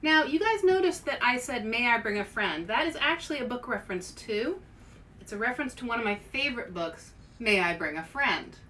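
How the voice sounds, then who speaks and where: High-pitched woman, children's read-aloud host. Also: expressive, fairly quick, sharp tone. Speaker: host and author reading picture books aloud. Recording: distant mic with some background noise.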